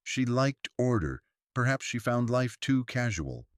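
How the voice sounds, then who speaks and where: A man's voice: medium pitch, sing-song, unhurried, no echo, clean studio sound, pitched above the performer's own voice. A man voicing a prim, guarded old small-town woman in a story, with precise, drily genteel phrasing. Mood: dry, composed.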